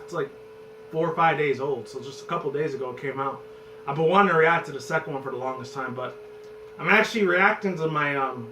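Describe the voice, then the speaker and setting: A man in his twenties with a hoarse voice, rambling and cursing loosely as a vlog host talking about movies. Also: a little high, fairly bright tone. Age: 20s. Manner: loose and profane, rambles and restarts. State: hoarse from screaming. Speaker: channel host. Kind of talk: rambling casual movie chat. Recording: roomy, distant, noisy with hum.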